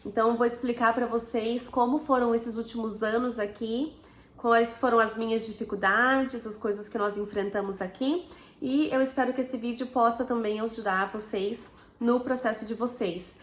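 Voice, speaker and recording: A woman's voice: a little high, fairly even intonation, moderate pace. Chatty Brazilian woman, echoey room. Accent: Brazilian Portuguese. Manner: chatty, frequent tag questions. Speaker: vlogger. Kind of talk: casual personal story to camera.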